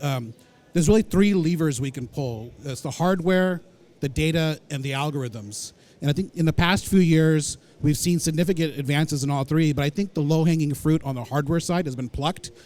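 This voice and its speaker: A man's voice: on the high side, a little mellow. A man answering a moderator's question on a conference panel, fluent and technical with a few uhs.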